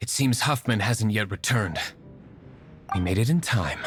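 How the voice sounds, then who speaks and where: A man's voice: fairly low pitch, expressive, normal speed, close to an everyday voice. A man playing a stoic young nobleman vigilante in a video game, speaking in a dry, curt, formal manner with music behind him. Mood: brisk.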